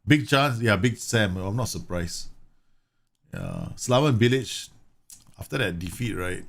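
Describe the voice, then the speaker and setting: A man's voice: on the low side, big pitch swings, measured pace, gravelly. A man from Singapore live-streaming a football management game, chatting casually, reading game news aloud and laughing often. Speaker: gaming streamer.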